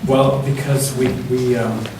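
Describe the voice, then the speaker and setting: A man reading a picture book aloud to children in a church, pausing to put questions to them. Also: medium pitch, little pitch movement. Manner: pauses to ask the listening children questions. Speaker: church children's story reader. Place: large echoey church interior. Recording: very echoey church room, distant mic, faint noise.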